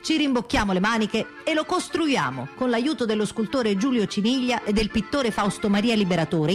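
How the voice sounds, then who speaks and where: A woman reading a scripted theatrical narration as a stage narrator. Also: a little high.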